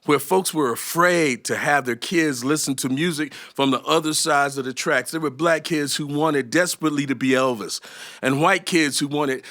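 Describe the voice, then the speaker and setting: A man performing a musical story live, animated and emphatic, with dramatic pauses. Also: on the high side. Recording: guitar music behind the voice. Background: acoustic guitar playing.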